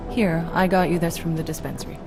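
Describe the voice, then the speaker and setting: An actress playing a calm, probing doctor who questions a patient in a game cutscene. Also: female voice, lowish voice, quick pace, lightly acted. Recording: game cutscene with loud music.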